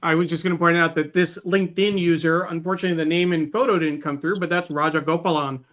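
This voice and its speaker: A man co-hosting a live discussion show, speaking casually in a halting, hesitant way. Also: fairly high pitch, fairly even intonation, fairly bright tone.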